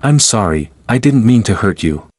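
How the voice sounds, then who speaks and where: Man reading english drill answers, lowish voice, dry close mic, clear and even. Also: moderate pace, dry recording. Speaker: language lesson reader. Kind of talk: slow repeated example sentences for learners.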